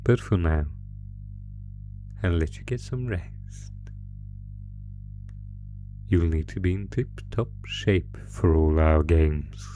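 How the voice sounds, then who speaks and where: Man performing an ancient male monster, deep voice, slow menacing delivery, possessive, mock-tender, horror audio roleplay, music behind. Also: warm tone. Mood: sinister delight.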